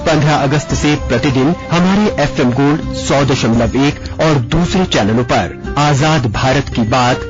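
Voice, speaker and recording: A man's voice: mid-range voice, unhurried, few pauses, highs cut off. Male radio promo announcer over music.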